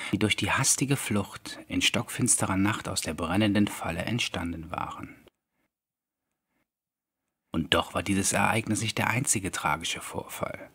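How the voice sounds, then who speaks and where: A man narrating a scripted horror audiobook in a measured, deliberate storytelling manner. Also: on the low side, breathy edge. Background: soft background music.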